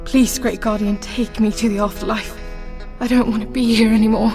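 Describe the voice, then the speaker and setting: A forlorn little female spirit played by a woman speaks with reverent, imploring manner in a fantasy audio tale. The pitch stays very even, and music plays under the voice. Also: moderate pace, close to an everyday voice. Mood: desperate pleading. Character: pleading, forlorn soul of an adult female fish creature, tiny. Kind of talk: scripted fantasy audio story.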